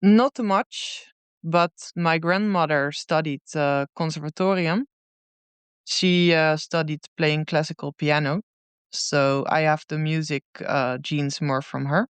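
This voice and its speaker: A woman in her thirties with a Dutch accent, answering interview questions in a thoughtful, unhurried way with many pauses. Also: low-pitched, steady tone, clear, resonant tone, no echo. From Netherlands. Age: 30s. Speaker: guest musician.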